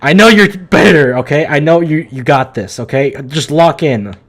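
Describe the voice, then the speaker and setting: A man's voice: on the high side, expressive, moderate pace, fairly bright tone. A man livestreaming a video game with casual, slangy, emphatic commentary, often stumbling and repeating himself.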